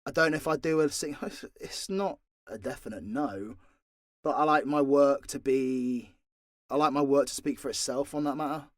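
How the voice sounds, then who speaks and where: A man's voice: medium pitch, moderate pace, dry recording. Dry-sounding British man chatting candidly. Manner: frank, sweary, rambling. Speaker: audio role-play creator and voice actor. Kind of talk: candid, informal Q&A chat.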